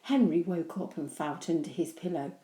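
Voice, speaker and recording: Expressive woman storyteller in an echoey room. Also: on the low side. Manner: animated, deliberate. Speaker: children's story reader.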